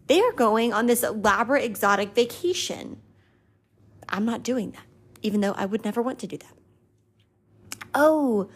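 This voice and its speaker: A woman in her twenties hosting a podcast, talking earnestly and confessionally about gratitude and faith. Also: on the high side. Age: 20s. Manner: earnest and confessional, voices her own doubts in a mocking lilt. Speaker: podcast host. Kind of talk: personal faith reflection monologue.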